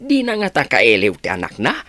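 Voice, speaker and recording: A man's voice: mid-range voice, huge pitch swings, brisk, sharp tone, no room sound. A man acting a questioning older village father in an Ilocano radio drama. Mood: troubled.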